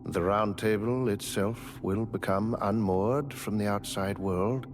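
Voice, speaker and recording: An actor playing a genteel, devoted manservant in a fantasy game, with formal, courtly, theatrical phrasing. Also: male voice, fairly low pitch, fairly even intonation, takes it slowly, noisy background. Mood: solemn devotion. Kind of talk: video game character dialogue.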